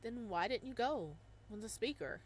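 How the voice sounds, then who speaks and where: A skeptical young male florist with a dry, deadpan manner, voiced by a woman reading aloud. His lines come in a fairly high, lively voice. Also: normal speed, slight background noise, lightly acted, poor audio.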